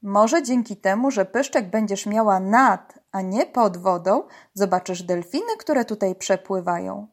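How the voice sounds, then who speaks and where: A woman's voice: medium pitch, expressive, a little slow, few pauses. A woman voicing a protective, commanding uncle who leads a young crew in a children's story. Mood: reassuring. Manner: commanding, emphatic.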